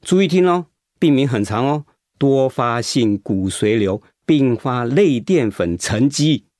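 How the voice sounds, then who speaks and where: An actor playing a determined, encouraging male cancer patient in a Mandarin radio drama, with a husky voice. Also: medium pitch, animated, measured pace, darkish tone, dry recording, clean studio sound, close to an everyday voice. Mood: cheerful, friendly.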